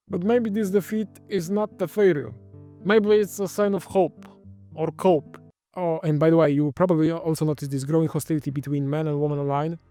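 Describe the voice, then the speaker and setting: Man, Polish accent, slightly high voice, rambling commentary, faint music underneath. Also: darkish tone, no echo. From Poland. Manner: rambling, self-correcting, wry. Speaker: channel host. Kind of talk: casual monologue commentary.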